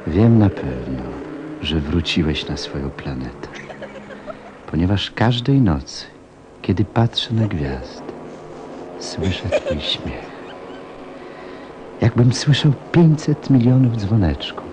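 A man acts out a wistful pilot in an audio drama, reflective and tender. His deep voice moves slowly and haltingly, over a clearly noisy ambient background. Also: sing-song, exaggerated voice. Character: wistful adult male pilot missing his lost young friend. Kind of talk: slow dramatic monologue in an audio play.

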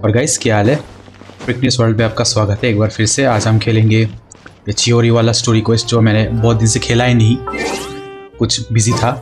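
A man's voice: lowish voice, little pitch movement, very fast, music in the background. A man with an Indian accent giving casual gameplay commentary as a gaming video host.

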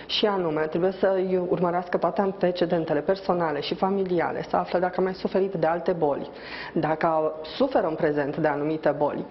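A middle-aged woman obstetrician explaining things calmly as a guest on a TV interview, with measured speech and frequent hesitant fillers, on a lapel mic in a hospital lobby. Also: on the low side. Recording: dull, slightly noisy broadcast audio.

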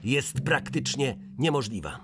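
A formal, beleaguered major over music. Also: male voice, medium pitch, sing-song, a little slow. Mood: grave, resigned. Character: beleaguered male fortress major addressing his officers.

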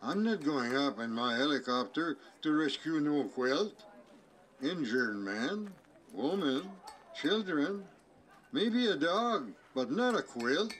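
A man voicing a gruff, sleepy fire chief puppet, blunt and drawn-out, in a live puppet show. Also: fairly high pitch, animated, fairly bright tone, poor audio. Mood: grumpy refusal. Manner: slow, drawn-out, blunt, switching into French. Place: outdoor farmyard puppet booth.

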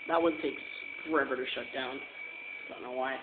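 A man's voice: medium pitch, fairly even intonation, fairly quick. A man giving a casual, matter-of-fact tour of his home basement, filmed on a handheld phone. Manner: plain, matter-of-fact, pointing things out. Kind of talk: casual walk-through tour. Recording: tinny phone-like audio with clear background noise.

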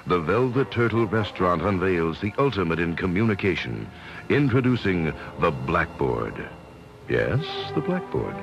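Deep male mock-grand commercial narrator, deadpan, dramatic swings in pitch. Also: lo-fi sound. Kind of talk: scripted radio commercial narration, early 1980s.

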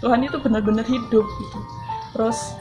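A woman's voice: fairly high pitch, steady tone. Earnest young woman telling a testimony. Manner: earnest, halting, many fillers and restarts. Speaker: testimony giver. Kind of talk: personal testimony, casual storytelling. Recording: voice over clear background music. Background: background music.

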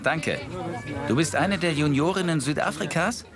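A man's voice: fairly high pitch, animated, moderate pace, music in the background. A young man voicing a documentary subject in a German dub, chatty and eager to introduce himself.

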